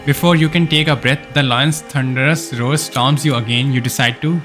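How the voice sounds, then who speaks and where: A man's voice: mid-range voice, fairly even intonation, moderate pace, a bit bright, soft background music. A man live streaming a game, reading its story text aloud with casual asides, sometimes stumbling over words and correcting himself.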